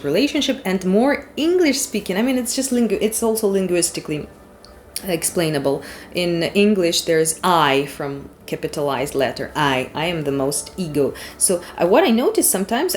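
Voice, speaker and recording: A woman with a Ukrainian accent gives a casual tarot reading in English in a slightly low voice. She rambles frankly and drops in the odd swear word. Faint noise sits behind her voice. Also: a little mellow. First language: Ukrainian or Russian. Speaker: tarot reader.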